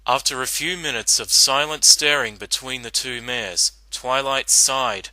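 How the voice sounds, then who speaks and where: A man narrating a dramatic reading of fan fiction in an earnest, deliberate storytelling manner. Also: mid-range voice, sharp tone, no echo, poor audio.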